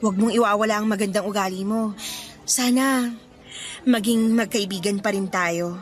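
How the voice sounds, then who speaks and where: A woman acting in a Filipino radio drama as a cheerful, gentle young woman letting down her suitors. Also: mid-range voice, normal speed, natural voice. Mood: gentle, serious.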